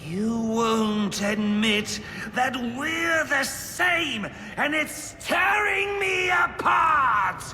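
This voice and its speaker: A woman playing a theatrical, lovesick villain, singing a slow dramatic song. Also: on the high side, slight background noise, heavily acted. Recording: long reverb, music backing.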